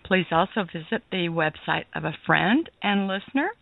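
A woman hosting a talk radio show, reading her opening and contact details in a measured, deliberate way, then chatting with her guest. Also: lowish voice, lively intonation, creaky, no echo. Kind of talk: scripted show opening, then casual chat. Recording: phone-like narrow band.

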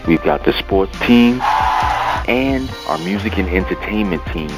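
A man's voice: low voice, expressive, a little slow. A man reading a scripted network promo with punchy delivery. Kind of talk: scripted ad read. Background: music.

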